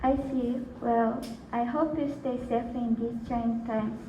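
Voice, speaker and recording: A woman's voice: a little high, steady tone, slow, background noise. A woman playing an earnest, apologetic refugee in an amateur student radio drama. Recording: muffled echoey room with crowd babble.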